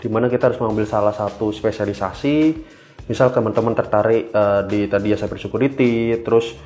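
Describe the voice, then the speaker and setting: A man, answering casually as a podcast guest, hesitant and full of filled pauses. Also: on the low side, steady tone, a little mellow, soft background music. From Indonesia. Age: 20s. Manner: hesitant, halting delivery with frequent filled pauses. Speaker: guest cyber security engineer.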